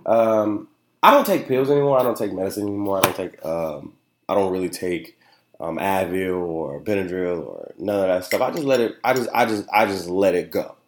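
Young male podcast host, stuffy and nasal, lowish voice, loose rambling chat in an echoey room. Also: lively intonation, brisk, a little hiss or hum. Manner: rambling and chatty, keeps asking "you know what I'm saying". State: nasal and congested. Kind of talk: casual solo podcast chat. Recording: roomy, echoey room sound.

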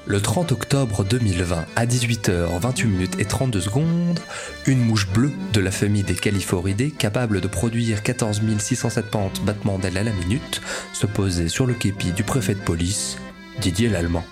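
A man narrating a scripted fiction in a precise, deadpan manner. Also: lowish voice, moderate pace. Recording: music behind the voice.

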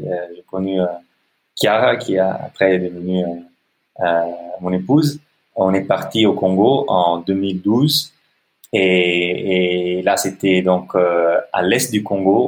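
An Italian man in his thirties, a non-native French speaker, answering interview questions in a halting way with many fillers and restarts. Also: low voice. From Italy. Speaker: podcast guest, social entrepreneur. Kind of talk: hesitant conversational interview answers.

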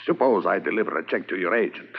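A wary millionaire drug buyer. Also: male voice, low-pitched, lively intonation, quick pace, gravelly, a little hiss or hum. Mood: cool, businesslike. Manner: cool, businesslike, guarded. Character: wealthy, wary American drug buyer, middle-aged man. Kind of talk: 1950s radio drama acting. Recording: muffled, lo-fi 1950s radio transcription.

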